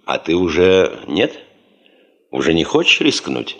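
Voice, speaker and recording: Низкоголосого, напористого начальника-геолога. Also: male voice, sits low, very animated, unhurried, creaky, full character voice. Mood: terse, insistent. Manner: terse, insistent, commanding. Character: driven, stubborn male geologist chief demanding money for gold prospecting. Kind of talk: Soviet radio play acting.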